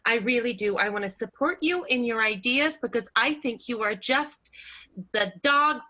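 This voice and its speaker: A woman's voice: on the high side, lively intonation, normal speed, pushed and bright, low-quality recording. A woman playing a tactful, genteel vaudeville diva, mannered and wordy, in an improvised comic radio play. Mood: coaxing.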